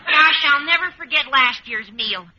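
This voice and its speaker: Eager teen boy in an old radio sitcom, really high cracking voice, super bright, muffled vintage recording. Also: a little slow, full character voice. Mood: gushing, enthusiastic. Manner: gushing, earnest, cracking adolescent delivery. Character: eager, guileless teenage high-school boy. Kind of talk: 1940s-50s radio sitcom acting.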